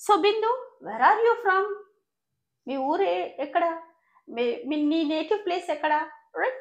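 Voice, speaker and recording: A woman with a Telugu accent and Telugu as her first language, teaching English grammar in a quick, chatty way and checking in with her students after nearly every point. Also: extremely high pitch, lively intonation, muffled recording. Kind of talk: bilingual classroom explanation.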